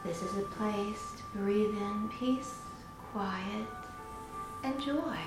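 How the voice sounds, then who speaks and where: Female meditation leader, soothing and unhurried, phrase-by-phrase delivery, strongly reverberant sound over clear music. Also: mid-range voice. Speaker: meditation guide. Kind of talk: guided meditation, slow instruction. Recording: very echoey room with music.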